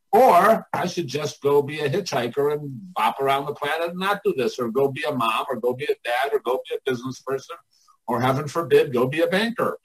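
A man's voice: a little high, husky, low-quality recording. An older man chatting casually and motivationally as a coach, candid, folksy and given to swearing. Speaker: artists' coach and mentor, podcast guest.

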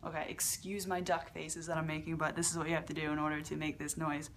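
A young woman with a fairly low voice for a woman, hosting a casual beatbox tutorial from her living room and talking to a webcam, with lots of fillers and restarts. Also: level tone, fast talker, a little hiss or hum. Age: young adult. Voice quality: lower voice for a woman. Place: large living room at home.

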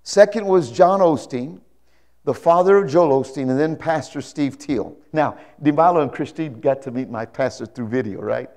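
An older man delivers a sermon in a high-set voice. He preaches emphatically, repeating key phrases and weaving in stories. He often turns to his listeners for agreement, speaking as a pastor on stage into a lapel mic. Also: normal speed, darkish tone. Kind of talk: animated sermon with anecdotes. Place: indoor church stage, speaking to a congregation.

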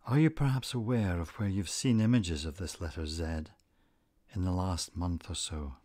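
A man narrating a scripted guided meditation, giving gentle instructions in a calm, deliberate manner. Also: sits low, dry recording.

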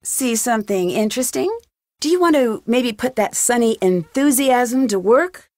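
An actress playing a young amateur detective in a video game, upbeat, caring and wry, with a friendly, lightly teasing delivery. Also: female voice, on the high side, lively intonation, normal speed, a little mellow, no echo, clean studio sound, natural voice. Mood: wry, curious. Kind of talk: scripted video game voice acting.

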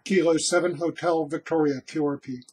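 A man in his sixties, an amateur radio operator, giving call signs and brief remarks in a clipped, deliberate way. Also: fairly high pitch, steady tone. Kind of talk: radio call-sign calling and brief commentary. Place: small cluttered home radio room. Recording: loud radio static and clicking noise.

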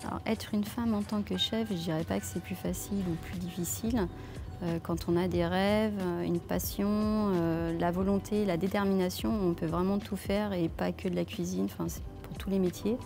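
Hesitant woman chef over soft music. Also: fairly low pitch, steady tone, moderate pace, creaky. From France. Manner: hesitant, many fillers and restarts. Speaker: head chef. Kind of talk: filmed interview answers. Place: leafy outdoor restaurant courtyard. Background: soft background music.

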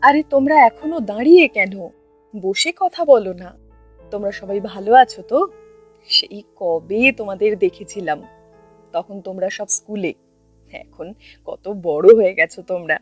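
A woman's voice: mid-range voice, moderate pace, soft background music, lightly acted. A woman playing a kindly middle-aged mother in a cartoon story. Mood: welcoming. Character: kindly middle-aged mother welcoming her son's friends. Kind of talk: cartoon voice acting.

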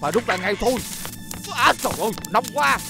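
A man voicing a kindly, excitable elderly grandfather shopkeeper in a children's cartoon dub, expressive and given to muttering. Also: sits high, fast, creaky. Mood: panicked. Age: older. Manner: expressive, muttering, exclaiming to himself. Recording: music under the voice.

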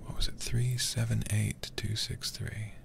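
A man livestreaming a horror game in ASMR style, murmuring softly and often whispering, close to the microphone. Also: lowish voice, level tone, measured pace, gravelly.

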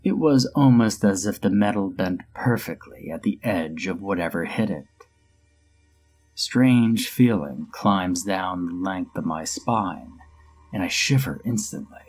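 A man narrating a first-person horror story in a steady storytelling voice with dry humor. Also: low voice, husky. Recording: music bed under the voice.

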